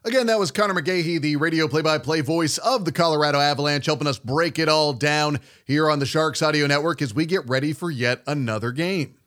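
A male sports host with a somewhat high-pitched voice. He speaks fluently in a broadcast style, moving through conversational commentary and opinion. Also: a little fast, hardly any pauses, a bit bright.

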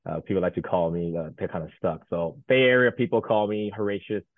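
A man's voice: low-pitched, fast, dry recording. A man in his thirties from Taiwan, a native Mandarin speaker, chatting casually as an interview guest and rambling with many fillers and restarts. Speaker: guest, Paralympic sled hockey goaltender. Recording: tinny phone-like line.

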